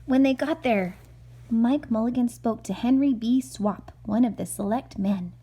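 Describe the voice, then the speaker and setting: A woman's voice: on the high side, measured pace, mellow, breathy edge. A woman reading a picture book aloud to her young child, with casual asides as she goes.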